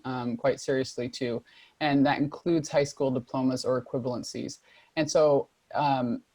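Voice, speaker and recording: A woman's voice: deep voice, a little mellow, dry recording. A woman moderating a panel over a video call, walking through slides in an organized, directive way. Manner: organized, directive, listing points. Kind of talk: presentation with slides.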